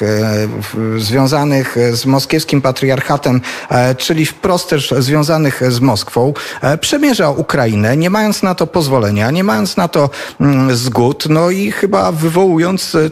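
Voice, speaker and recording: A man hosting a radio talk show, speaking in a halting way and stumbling over words. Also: medium pitch. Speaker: radio host.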